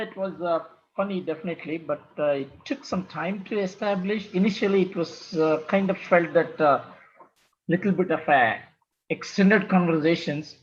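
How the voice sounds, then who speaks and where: A man giving unscripted feedback in a halting way, searching for words, on a lo-fi call. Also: a little high. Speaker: audience member giving feedback. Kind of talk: unscripted critique. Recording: dull lo-fi video call.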